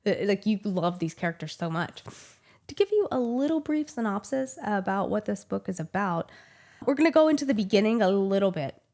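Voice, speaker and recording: A woman's voice: mid-range voice, very animated, fairly quick, darkish tone. Gushy lady in her 30s with a cold, rambling about books, lots of "like". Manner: chatty and gushing, many "like" fillers. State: small head cold, voice a little off. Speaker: book channel host. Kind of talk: casual book review chat.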